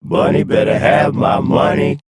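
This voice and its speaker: A woman voicing a mean, mocking bull boy in a children's storybook, with taunting, drawn-out threats. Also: a little mellow, lightly acted. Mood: taunting, loud. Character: mean bullying bull boys, menacing and mocking.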